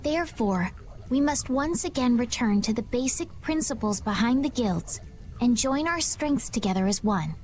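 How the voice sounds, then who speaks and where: Actress as high-voiced, earnest young noblewoman. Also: expressive, normal speed, a little mellow, a little hiss or hum, close to an everyday voice. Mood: solemn. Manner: earnest, formal, articulate. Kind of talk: video game voice acting.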